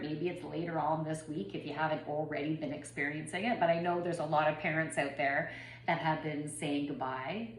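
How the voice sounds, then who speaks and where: A woman in her forties, a bit congested from a head cold, talking in a rambling, personal way with many ums and restarts in a spiritual vlog. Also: fairly low pitch. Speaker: spiritual reader and yoga teacher. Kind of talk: casual spiritual channeling vlog. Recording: echoey room with faint background noise.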